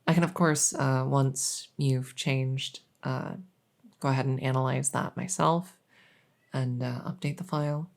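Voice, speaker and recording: Woman playing a night vet, ASMR roleplay, slow pace, gravelly fry, matter-of-fact, courteous, hesitant. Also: extremely low pitch, steady tone, a little mellow, full character voice. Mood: professional, reassuring. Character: soft-spoken, polite night vet receiving a supernatural patient.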